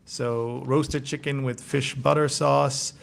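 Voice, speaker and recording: Gravelly-voiced man hosting a live show. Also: medium pitch, flat delivery, slow. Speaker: host. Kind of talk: casual live show presenting.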